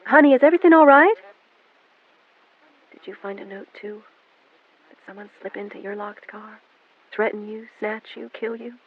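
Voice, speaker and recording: Woman doing a frantic mom begging on the phone, pitched up high, tinny dry audio. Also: moderate pace, slight background noise, close to an everyday voice. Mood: pleading. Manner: pleading, rising and breathless. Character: frantic middle-aged mother, pleading, guilt-ridden. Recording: narrow phone-like band, dry.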